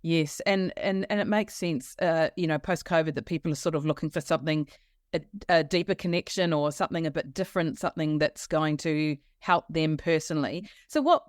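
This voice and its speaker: Low-voiced woman hosting a podcast. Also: no room sound, clean studio sound. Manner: warm questioning, many restarts and fillers. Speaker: podcast host.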